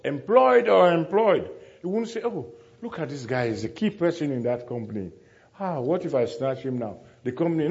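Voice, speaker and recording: A man's voice: on the high side, darkish tone, lo-fi sound. A man preaching a sermon to a congregation, speaking emphatically, repeating phrases and putting rhetorical questions to his listeners.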